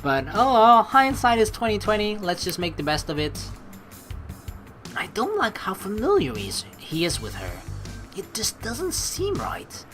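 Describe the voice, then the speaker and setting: A woman hosting a let's play, reading game narration aloud and adding chatty, rambling commentary in an expressive way. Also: medium pitch, measured pace, soft background music.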